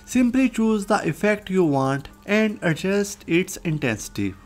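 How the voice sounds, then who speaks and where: A software tutorial host reads a scripted narration. The voice sits at a middle pitch, with faint music underneath. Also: animated, measured pace. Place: voice-over, recorded off screen.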